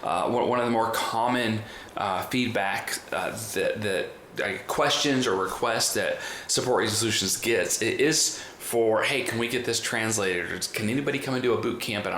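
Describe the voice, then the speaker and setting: A man's voice: fairly low pitch, normal speed, fairly bright tone. Guy hosting a podcast, chatty and wordy, echoey room, bit of background noise. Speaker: podcast host and trainer. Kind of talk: conversational podcast interviewing. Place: indoor room in the US. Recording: roomy echo, faint background noise.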